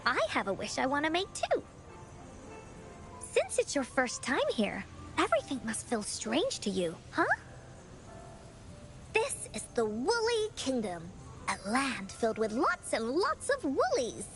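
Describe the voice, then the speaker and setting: Bubbly, very high-pitched little girl. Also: wildly swooping pitch, a little slow, pauses often, soft background music, exaggerated voice. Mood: eager, cheerful. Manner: bubbly, childlike. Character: cheerful imaginative little girl, eager playful tour guide. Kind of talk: video game character acting.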